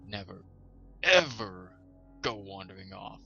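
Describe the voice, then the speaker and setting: A long-suffering trapper, played by a male storyteller, gravelly and plain-spoken. He talks firmly, like a henpecked working man in a storybook, with music clearly underneath. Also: on the low side, animated, slow, bright, forceful tone, highs cut off, exaggerated voice. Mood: stern warning. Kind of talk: storybook character voice. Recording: music behind the voice.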